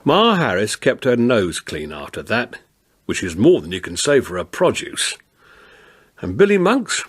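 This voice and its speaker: An older man playing a firm but kindly beat policeman in a radio drama, plain-spoken and gently authoritative. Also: fairly low pitch, wildly swooping pitch, measured pace, slightly rough, exaggerated voice. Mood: wistful storytelling. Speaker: police constable and narrator. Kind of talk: naturalistic radio drama acting and storytelling.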